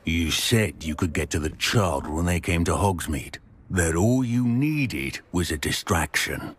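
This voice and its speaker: An actor playing a menacing, commanding villain who threatens coldly in a video game cutscene. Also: male voice, deep voice, expressive, measured pace, a little hiss or hum.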